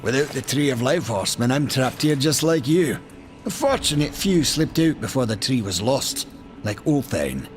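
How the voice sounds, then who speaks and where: A man's voice: medium pitch, sing-song, moderate pace, soft background music, natural voice. A man playing a chatty, wily and cowardly Maker trader in a fantasy game, with a folksy, sly way of talking.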